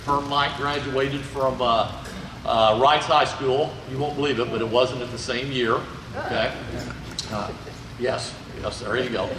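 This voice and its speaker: Chatty middle-aged guy lecturing at a museum, keeps asking "okay?", roomy echo with loud background noise. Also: mid-range voice, steady tone, measured pace. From Indiana, USA. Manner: folksy, chatty, checks in with "okay?". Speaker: museum volunteer presenter. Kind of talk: informal history talk to an audience. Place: large indoor museum hall. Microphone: handheld mic held loosely. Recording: echoey hall recorded from far off.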